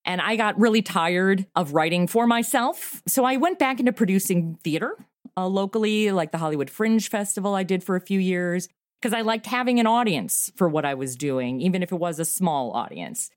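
A woman's voice: fairly low pitch, lively intonation, normal speed, dry recording, studio quality. A middle-aged woman giving a casual interview, informal, rambling and a little self-deprecating. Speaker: podcast creator being interviewed.

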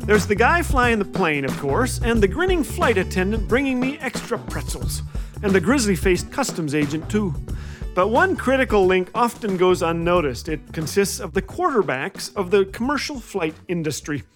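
Male host, high register, playful wry monologue for inspirational comedy radio, light music bed. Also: big pitch swings, normal speed, fairly bright tone. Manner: wry, playful, acts out quoted lines. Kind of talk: scripted comedic storytelling monologue.

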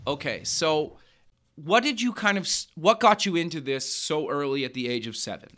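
Bright-voiced male podcast host. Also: on the high side, lively intonation. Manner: friendly, halting, with many fillers and restarts. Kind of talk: casual interview chat.

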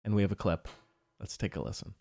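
A man's voice: on the low side, fairly even intonation, quick pace, very noisy. A man co-hosting a podcast, slightly nasal, easygoing, moving the chat along. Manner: easygoing, brief replies. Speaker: podcast co-host. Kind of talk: casual chat.

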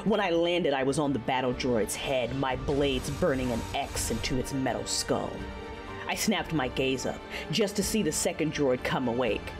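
A woman's voice: rumbling low, animated, normal speed. A woman playing a proud, wounded, coldly furious female Sith lord, narrating an audio drama with intense, theatrical delivery. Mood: tense, driven. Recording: music behind the voice. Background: music.

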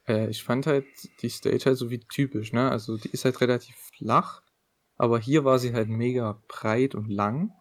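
A young man talks in a relaxed, rambling way as a podcast co-host. He leans on fillers and tag questions as he goes. His voice sits at a middling pitch, and the recording is dry and close. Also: moderate pace, no echo. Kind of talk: casual chat.

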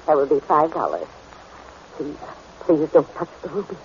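A woman's voice: sits low, big pitch swings, moderate pace, a little mellow. An actress playing a nervous, genteel older lady shop clerk, hesitant and pleading, in 1940s radio style. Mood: flustered. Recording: muffled, noisy 1940s radio recording.

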